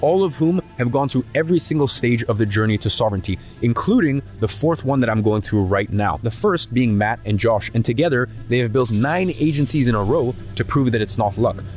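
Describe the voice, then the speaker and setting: A man narrating a scripted sales pitch for his course, fast and emphatic, listing benefits one after another. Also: expressive, soft background music. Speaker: course creator and agency owner.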